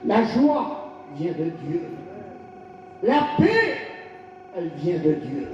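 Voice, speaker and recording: A male pastor from France delivering a fiery revival sermon, shouting in bursts and calling for responses from the congregation. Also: high-pitched, huge pitch swings, very slow, a little hiss or hum. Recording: echoey hall, distant lo-fi mic.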